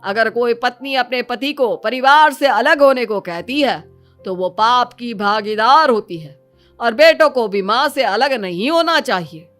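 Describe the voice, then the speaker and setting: Casting: female reader playing a pious village priest, preachy and measured, high-pitched, for a cartoon story. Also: lively intonation, a bit bright, faint music behind. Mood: solemn, preaching.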